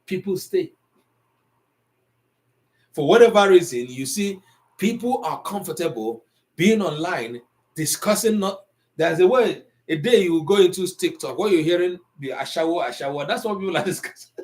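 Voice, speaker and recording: A man's voice: high-pitched, lively intonation, moderate pace, darkish tone. A middle-aged man teaching an Igbo language class online, lecturing in a conversational way that mixes English and Igbo.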